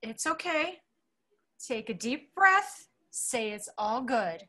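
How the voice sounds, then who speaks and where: A woman reading a bartender who calms and scolds a friend, in a table read over a video call, soothing and bossy. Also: fairly high pitch, slow pace, exaggerated voice.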